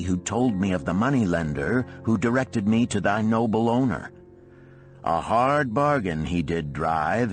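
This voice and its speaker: A narrator, a man, plays a grateful, eager Babylonian of ancient times from a storybook. The voice is low and the delivery declamatory, with archaic turns of phrase. Faint music plays behind it.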